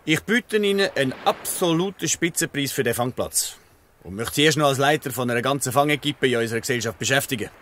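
A smooth-talking, calculating company boss, acted by a man in a Swiss German radio drama. The voice has a middle pitch, and there is faint noise behind it. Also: fairly bright tone, natural voice. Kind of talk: dialect radio play acting.

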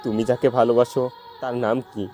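A dark, mellow male voice a man acting plays a jilted, heartbroken young lover. The lines are melodramatic love-story dialogue over a faint music bed. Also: medium pitch, little pitch movement, a little fast, close to an everyday voice. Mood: pleading, hurt. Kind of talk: amateur audio love-story drama.

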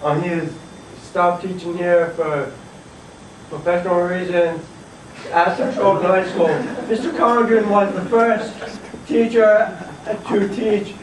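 A man's voice: sits high, steady tone, slow pace. A teenage boy giving a nervous, stumbling class presentation in a school classroom, recorded by a camera across the room. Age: teens. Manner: nervous, laughing, stumbling over words. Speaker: student presenter. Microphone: far camera. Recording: echoey classroom from far off, faint hiss.

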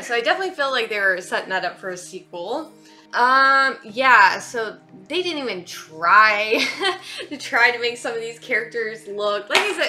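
A woman's voice: a little high, sharp tone. A woman in her thirties hosting a casual movie reaction chat, informal, giggly and exclamatory, in a living room. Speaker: host of a movie reaction show.

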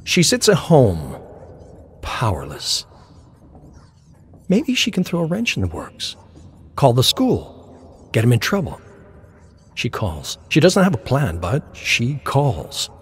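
A man reading game lore as dramatic scripted narration, in a deliberate storytelling manner with dramatic pauses. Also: medium pitch, wildly swooping pitch, a little hiss or hum.

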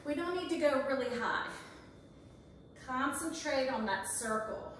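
An encouraging woman in her forties leads a workout from her home kitchen, her voice high and her pace unhurried. She counts reps aloud while she moves. The camera sits across a bare, echoing room, so she sounds distant. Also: animated, fairly bright tone, a little hiss or hum. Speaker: fitness instructor. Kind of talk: guided workout instruction. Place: large home kitchen, indoors. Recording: echoey kitchen, voice from far off.

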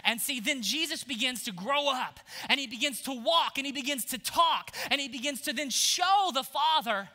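Bright animated man preaching. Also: very high voice, normal speed, few pauses. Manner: animated, swings from hushed to shouting. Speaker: pastor. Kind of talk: energetic sermon. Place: large church stage.

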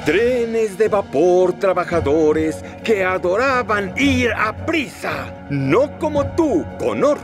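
Un actor de acento latinoamericano interpreta a una vieja locomotora de vapor, animosa y pícara, con discursos teatrales de caricatura infantil. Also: male voice, high-pitched, animated, takes it slowly, music in the background. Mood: rousing. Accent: Latin American. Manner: theatrical, exclamatory speechmaking. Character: spirited old steam engine, cheeky and rousing storyteller. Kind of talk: animated children's cartoon acting.

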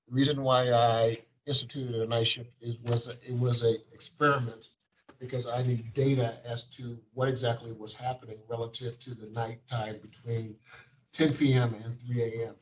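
A man's voice: medium pitch, slight background noise. Male administrator reading monthly figures to a board; bureaucratic, filler-heavy delivery, dull meeting-room recording. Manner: wordy, bureaucratic phrasing with frequent fillers. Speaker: agency administrator. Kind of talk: formal board meeting report. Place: large conference room.

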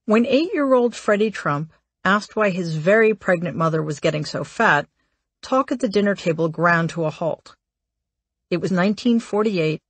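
Measured woman narrator, very dry sound. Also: fairly low pitch, slightly rough, no echo. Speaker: audiobook narrator. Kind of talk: scripted narration, memoir read aloud.

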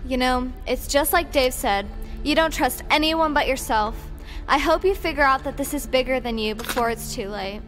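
A woman's voice: sits high, moderate pace, a bit bright, in a large hall, soft background music. A woman in an audio drama playing a cautious, stubborn shuttle engineer, exacting and argumentative. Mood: insistent.

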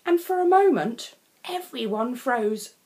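A woman reading a children's storybook expressively, leaning on key words with deliberate emphasis. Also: on the high side, expressive, darkish tone. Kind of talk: scripted storybook narration for children.

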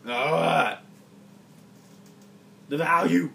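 A man's voice: fairly high pitch, drawn-out words, creaky, sharp tone. A man playing a card game with a friend, mumbling and grumbling his moves, sometimes swearing. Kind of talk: casual chat while playing. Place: indoor room at a game table. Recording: distant, noisy phone capture.

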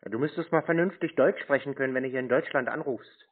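A man talks on a thin, tinny phone line at a medium pitch. He is curt and contrary, stonewalling whoever he is speaking to. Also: fast talker. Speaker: scam-baiter taking phone calls. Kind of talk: phone conversation. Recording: tinny phone-quality audio.

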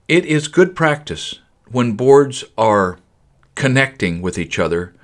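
Older male narrator, low-pitched, measured teaching voice-over. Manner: measured, explanatory. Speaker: framing instructor.

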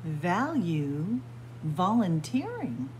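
A woman's voice: lowish voice, very animated, extremely slow, a little mellow, slight background noise. A middle-aged woman librarian reading a picture book aloud to children, word by word, with teacherly emphasis, recorded close on a webcam in a small library room. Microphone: webcam or phone close up at arm's length.

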